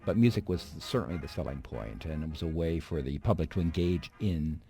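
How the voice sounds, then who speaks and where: American male interviewee, reflective commentator, dark gravelly tone, heavy fry. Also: very deep voice, animated, a little fast, soft background music. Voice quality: gravelly, husky. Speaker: radio historian interviewee.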